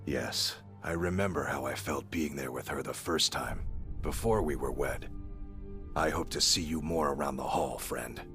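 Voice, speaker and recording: An actor playing a gruff, hardened Nordic warrior in a video game, stern and measured. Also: male voice, very deep voice. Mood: stern, reflective. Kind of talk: video game character dialogue. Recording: music behind the voice.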